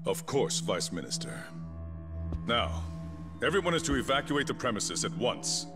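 A man playing a composed, calculating middle-aged chief prosecutor in a video game drama, speaking in a measured, formal, authoritative way. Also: mid-range voice, big pitch swings. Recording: music under the dialogue.

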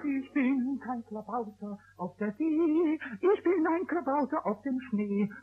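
A man voicing a small, cheeky, stubborn kobold in a 1960s radio play, squeaky and childlike, stammering and repeating words. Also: lively intonation, measured pace. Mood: singsong. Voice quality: squeaky, high. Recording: tinny lo-fi old radio recording.

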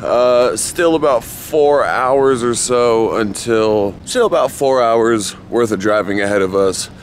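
A man's voice: mid-range voice, slow. An American man in his thirties chats casually to the camera in a laid-back, slangy, sweary way. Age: 30s. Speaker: vlogger. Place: outdoors at night at gas stations and parking lots. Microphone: handheld camera at about arm's length. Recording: noisy outdoor roadside, clear background noise.

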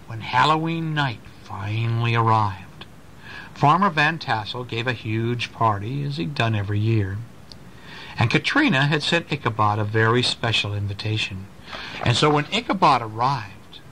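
A man's voice: medium pitch, expressive, unhurried, sharp tone. A man reading a story aloud to a small child, expressively, breaking off to explain things and ask questions. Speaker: parent reading a bedtime story. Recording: noisy amateur home recording.

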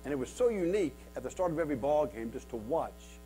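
A man's voice: fairly high pitch, animated, fast, mellow, a little hiss or hum, poor audio. Man from Kansas who stutters as he speaks. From Kansas, USA. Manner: stutters, with many repeats and fillers, self-mocking humor. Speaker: guest speaker. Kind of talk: storytelling talk to a men's retreat. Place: wood-paneled church hall with a seated audience.

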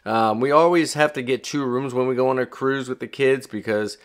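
A man's voice: medium pitch, normal speed, clear, resonant tone. Chatty middle-aged man hosting a vlog. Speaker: travel vlogger and host. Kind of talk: casual explainer talk to viewers.

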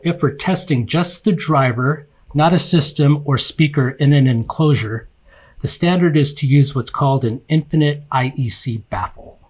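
A man reading scripted instructional narration for a technical slide presentation, explaining in measured, separate phrases. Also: mid-range voice, husky.

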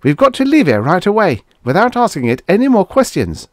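A man's voice: mid-range voice, big pitch swings, normal speed, no echo, studio quality. A man playing an anxious space explorer in a science fiction audiobook, earnest and insistent as he pleads with urgency. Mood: desperate, alarmed.

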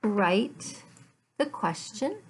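A middle-aged woman teaching English to learners, speaking deliberately in short, carefully enunciated phrases with pauses between them. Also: medium pitch, big pitch swings, a little hiss or hum. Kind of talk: slow language lesson for learners. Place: small living room at home, indoors.

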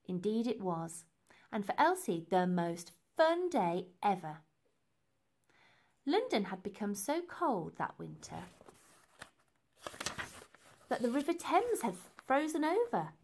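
A woman reading a children's book aloud in an animated way, with dramatic emphasis for young listeners. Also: medium pitch, slow, pauses often. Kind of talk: expressive storybook narration.

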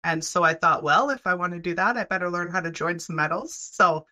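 A woman in her thirties from Canada talks through a headset on a video call, telling her story in relaxed podcast chat. Her voice sits a little low but has a bright, forceful edge. She is friendly and laughs easily. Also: a little fast. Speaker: guest, welding program head. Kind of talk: casual interview chat. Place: home room, video call.

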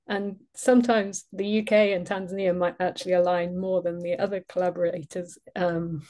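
A British woman in her forties, a neurosurgeon, gives a measured, reflective webinar talk over a video call. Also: on the low side, dark tone. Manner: measured, reflective, with frequent ums. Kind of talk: webinar presentation. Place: indoor room, video call.

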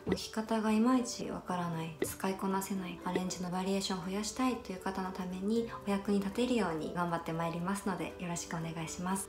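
Polite young stylist in a roomy room. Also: female voice, medium pitch. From Niigata, Japan. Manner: polite and explanatory, often talking while working the curling iron. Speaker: hairstylist. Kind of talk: polite tutorial instruction. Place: small bright room indoors. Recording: roomy sound with music behind.

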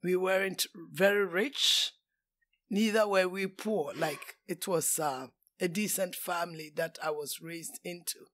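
Slow-talking older Ghanaian woman. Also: on the low side, a bit bright. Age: 60s. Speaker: guest. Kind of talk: casual interview.

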